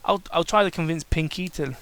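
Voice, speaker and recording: A man playing an earnest, bright-voiced nervous suitor. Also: on the high side, fairly quick. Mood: earnest, tender. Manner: hesitant, earnest. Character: earnest, nervous suitor courting a dangerous pony.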